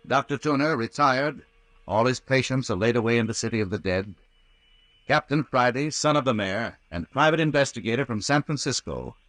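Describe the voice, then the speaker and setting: A male announcer narrates in a dramatic, scripted 1940s radio style. His pitch is average for a man, and the recording is dry without much room. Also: a bit bright, no room sound, poor audio.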